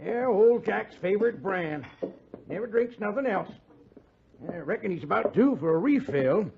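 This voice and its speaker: A man's voice: high voice, animated, normal speed, vocal fry. A man playing a folksy, sly frontier stagecoach attendant with an American Western drawl in a 1950s radio western. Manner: drawling, garrulous, salesmanlike. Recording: muffled, hissy 1950s radio recording.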